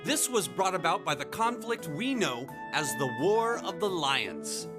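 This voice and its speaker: The academy lecturer at the front of a video-game classroom, telling history with theatrical swoops over loud music. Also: male voice, high voice, sing-song. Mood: engaged, didactic. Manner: measured, theatrical storytelling. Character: learned history teacher at a military academy.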